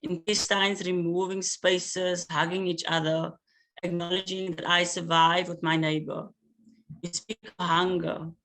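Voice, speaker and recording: Man reading poetry slowly. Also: high-pitched, flat delivery, bright, forceful tone, poor audio. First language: Afrikaans. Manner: slow, measured recitation with hesitant asides between pieces. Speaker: poet.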